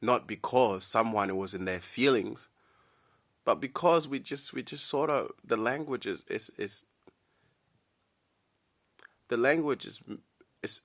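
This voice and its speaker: A man's voice: lowish voice, a bit bright. A man whose first language is Dinka, giving a blunt, slangy opinion rant straight to camera, stumbling and repeating words as he thinks. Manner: blunt, slangy, keeps calling the listener "bro". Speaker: vlogger.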